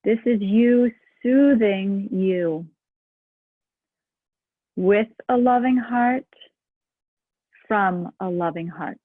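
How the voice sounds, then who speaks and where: Slow, soothing woman guiding meditation. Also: mid-range voice, no echo. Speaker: meditation guide. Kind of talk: guided meditation. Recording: dry, phone-like sound.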